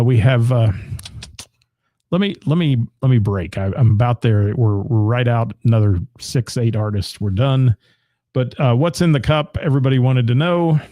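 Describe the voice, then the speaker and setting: Gravelly-voiced man reading gig listings. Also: mid-range voice, warm tone, dry recording, studio quality. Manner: rapid-fire listings with asides to chat. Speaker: radio host. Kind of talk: live show, reading local gig listings.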